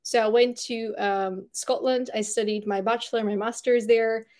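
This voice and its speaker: A woman's voice: fairly high pitch, fairly even intonation, normal speed, a little mellow. A woman from Bulgaria speaking English, a podcast guest earnestly reflecting on her childhood and schooling. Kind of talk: reflective storytelling.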